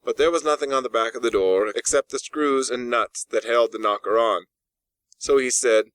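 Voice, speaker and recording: A man reading a classic ghost story as an audiobook narrator, with deliberate, dramatic phrasing and emphatic pauses. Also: medium pitch, a bit bright, clear, resonant tone, dry recording.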